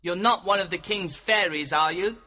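A man doing a bright, mischievous sprite. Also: normal speed, exaggerated voice. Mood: surprised. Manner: lively, anxious, higher than his own voice. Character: mischievous male sprite servant.

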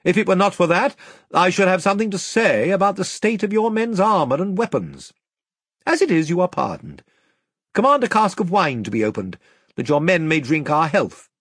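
A man's voice: high voice, very animated, fairly bright tone, husky, dry recording, studio quality, voice pushed up, exaggerated voice. A man voicing a regal young king in a storybook, stern but gracious, with formal, imperious delivery. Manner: formal, imperious, measured proclamation.

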